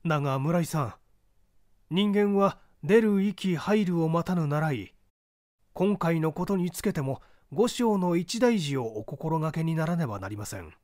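A man's voice: fairly high pitch, expressive, normal speed, darkish tone, no room sound, clean studio sound, voice pushed up, close to an everyday voice. A man voicing a burly Buddhist head priest in a story reading, formal and admonishing in old-fashioned speech. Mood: solemn admonishing.

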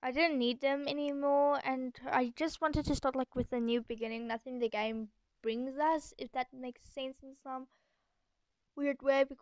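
Young girl w a high voice rambling casually over a game video, chatty host. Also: steady tone, normal speed, smooth, no room sound, rough recording. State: sore throat. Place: echoey room at home, voice-over.

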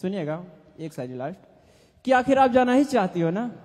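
Young man, very high voice, declamatory open mic poet, reverberant hall. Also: very animated, moderate pace, darkish tone. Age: young adult. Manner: declamatory, repeating refrains with rising and falling emphasis. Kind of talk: reciting Hindi poetry on stage. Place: indoor event stage before an audience. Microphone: stand mic.

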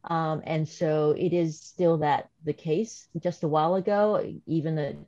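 Low mellow woman with slight Korean accent. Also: fairly even intonation, dry recording. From South Korea. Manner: measured, thoughtful, some restarts. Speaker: professor and panelist. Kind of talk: webinar panel remarks. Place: home or office video call. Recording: dry, slightly dull video-call audio.

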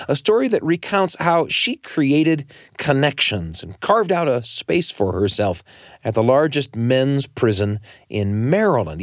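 A man's voice: medium pitch, dry recording, clean studio sound. An American man hosting a radio storytelling show in a polished presenter style. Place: radio studio, around a desk.

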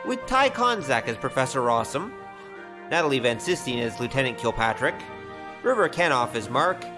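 A man's voice: medium pitch, big pitch swings, moderate pace, over music. A man announcing the introduction to an audio adventure drama.

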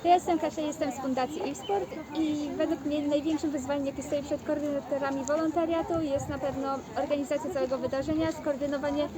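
A woman's voice: sits high, steady tone, fairly quick, few pauses. A woman representing an organisation in a short, fluent interview answer. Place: busy outdoor fair. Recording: outdoor event with crowd noise.

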